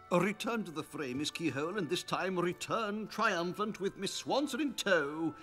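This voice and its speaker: A man's voice: high-pitched, lively intonation, measured pace, a bit bright, faint music behind. A man voicing a pompous, exacting elderly college head in a cartoon, with a British accent and formal, indignant manner. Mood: pompous, commanding. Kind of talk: cartoon character acting.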